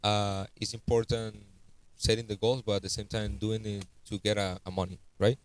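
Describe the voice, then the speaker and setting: A man with a Spanish accent hosting a podcast, searching for English words in a halting, friendly way. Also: on the low side, level tone, a little hiss or hum. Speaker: podcast host. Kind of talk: casual interview in a second language.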